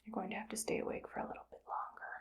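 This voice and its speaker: A woman voicing a cold, calm evil scientist who harvests brains, whispering softly in a clinical, matter-of-fact way for an ASMR roleplay. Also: very fast, highs cut off, close to an everyday voice. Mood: coolly clinical. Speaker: ASMR creator.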